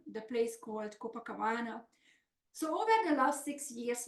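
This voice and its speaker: A woman's voice: fairly high pitch, reverberant. A middle-aged woman from Hungary with a Hungarian accent, hosting a business coaching webinar in an earnest, upbeat, semi-scripted style.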